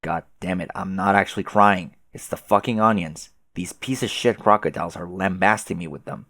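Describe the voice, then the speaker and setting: A man playing an aloof, sarcastic teenage boy who grumbles and swears in a deadpan way for a comic dub. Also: deep voice, normal speed, no room sound, studio quality. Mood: irritated. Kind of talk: fan comic dub dialogue.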